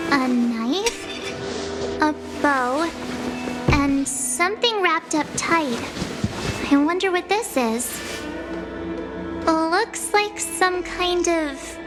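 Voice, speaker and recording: A curious young girl adventurer wonders aloud in a high, bright voice. She takes her time over each word. Game music plays clearly behind her. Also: exaggerated voice. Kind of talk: game cutscene voice acting. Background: game soundtrack music.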